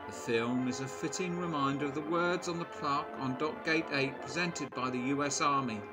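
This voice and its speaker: A man narrating a local history documentary in a measured, informative voice-over. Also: mid-range voice. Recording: voice-over with loud music bed.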